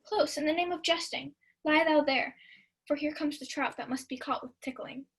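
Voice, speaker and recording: Young woman acting a sneaky gleeful schemer girl, really high voice, shakespeare radio play. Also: normal speed. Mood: scheming. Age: young adult. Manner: conspiratorial, gleeful, theatrical. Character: sly, mischievous young woman plotting a prank. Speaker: student actor. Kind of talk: Shakespeare comedy as 1940s-style radio play.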